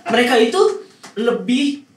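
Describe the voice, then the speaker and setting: A man's voice: very high-pitched, normal speed, a crowd in the background. A young Indonesian man with a Jakarta accent chatting casually on a podcast panel. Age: young adult. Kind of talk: casual chat. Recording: roomy, distant mic, background noise.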